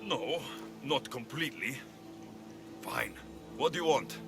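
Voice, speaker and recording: A man's voice: mid-range voice, lively intonation, slow, music in the background. A man acting a bold, self-assured Greek mercenary in a video game, wry and probing. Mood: wary. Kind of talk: scripted video game dialogue.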